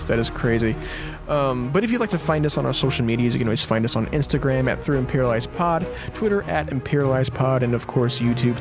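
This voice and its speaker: A man co-hosting a podcast in casual chat, informal and thinking aloud as he talks. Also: medium pitch. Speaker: podcast co-host.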